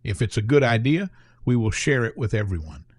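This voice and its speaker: Middle-aged American male narrator, folksy and plain-spoken, animated mid-range voice, close dry recording. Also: mid-range voice, brisk, dry recording. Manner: no-nonsense, folksy. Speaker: trucking channel host, former truck driver. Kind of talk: scripted narration.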